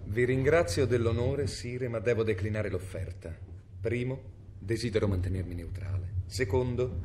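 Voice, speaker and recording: An actor in an Italian radio drama playing a cold, cunning medieval inquisitor with a measured, formal, severe delivery. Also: male voice, medium pitch, a little mellow, lightly acted. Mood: cold, calculating. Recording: noisy radio drama recording.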